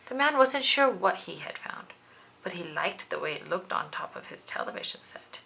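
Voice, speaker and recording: A woman's voice: lowish voice, big pitch swings, normal speed, vocal fry, bright, forceful tone, low-quality recording. A woman reading a children's storybook aloud, narrating expressively and dramatically. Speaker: children's book reader.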